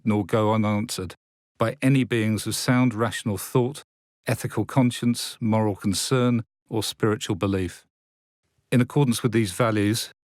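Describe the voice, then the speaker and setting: Lowish-voiced man solemnly reading a declaration. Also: a little mellow, no room sound, clean studio sound. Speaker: activist reader.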